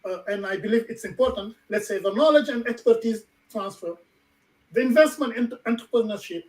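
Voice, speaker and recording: A man hosting a talk radio program, speaking haltingly with many fillers and repeats. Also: high-pitched. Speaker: radio show host. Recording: distant from the mic.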